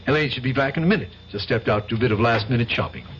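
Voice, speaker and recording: An actor playing a glib, teasing upper-class brother, who talks in a breezy, chatty way in a 1970s radio drama. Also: male voice, mid-range voice, very fast, a bit bright, natural voice. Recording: hissy lo-fi old radio broadcast.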